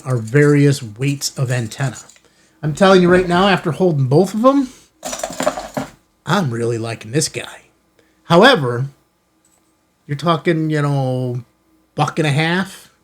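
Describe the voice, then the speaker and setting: An American man speaks in a slightly higher-than-average male register. He hosts a hobby radio channel from an indoor studio, talking casually and informally. His delivery is conversational, as if chatting straight to his viewers about gear. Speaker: ham radio channel host. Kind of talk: casual product unboxing chat to viewers. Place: indoor studio in an office building.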